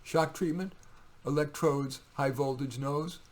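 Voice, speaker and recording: A man's voice: a little high, unhurried. Man giving an emphatic poetry reading. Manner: emphatic, theatrical when reading verse. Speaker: poet reading own work. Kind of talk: poetry reading with casual asides.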